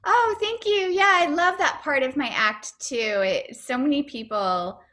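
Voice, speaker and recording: Bright-voiced woman chatting on a video call. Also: high voice, animated, a little slow. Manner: chatty, upbeat, with many fillers and restarts. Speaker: comedian and actor, interview guest. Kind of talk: casual interview answers over video call.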